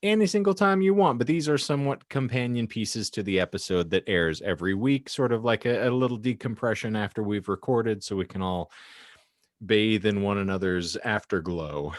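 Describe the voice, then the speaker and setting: A man with a low voice and a close, dry sound hosts a comedic podcast. He talks casually but dresses things up in florid, theatrical phrasing. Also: animated, normal speed, no room sound. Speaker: podcast co-host.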